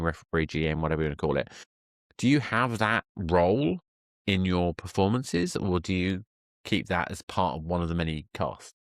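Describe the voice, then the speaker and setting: Low-voiced man with lively intonation. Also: no echo, clean studio sound. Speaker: podcast host. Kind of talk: interview talk.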